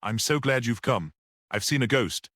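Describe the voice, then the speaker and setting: A man's voice: mid-range voice, expressive, normal speed, a bit bright, dry recording, studio quality, natural voice. A man voicing a nervy, dreamy woman who sees ghosts, in an uneasy, dramatic manner, for a story reading. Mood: relieved, shaken.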